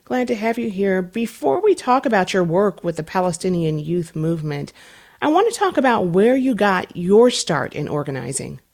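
A woman hosting a public radio interview show, introducing a news story and her guest in a measured, formal newsreader delivery. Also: medium pitch, sing-song, a little mellow.

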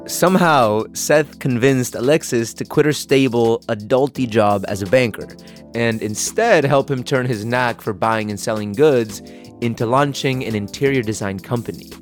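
A man's voice: medium pitch, moderate pace, faint music behind. A man narrating a podcast story in a wry, upbeat way.

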